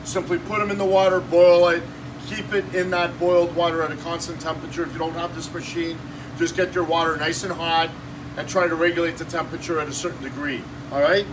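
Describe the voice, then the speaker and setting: A man in his fifties, a shop owner giving an energetic, salesy cooking demo in a small shop office, filmed on a phone. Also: fairly high pitch, fast talker. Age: 50s. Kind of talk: casual promotional demo. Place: small shop office with kitchen counter, indoors. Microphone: phone camera held by someone nearby. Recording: distant phone audio with room noise.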